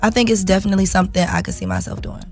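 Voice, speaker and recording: A raspy-voiced young woman, a guest rapper, chatting casually in an interview and trailing off with lots of "like"s. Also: mid-range voice, racing, soft background music. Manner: relaxed, trailing off with many "like"s.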